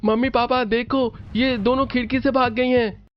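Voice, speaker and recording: A man's voice: very high voice, flat delivery, normal speed, pitched above the performer's own voice. A man voicing a spoiled, bullying teenage boy in a whiny cartoon, with music behind a muffled recording. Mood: tattling.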